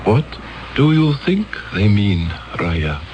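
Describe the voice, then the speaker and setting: Radio drama: a grave alien elder, slow and lively in pitch. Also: male voice, highs cut off. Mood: solemn. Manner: solemn, measured. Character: grave male elder of a peaceful alien world.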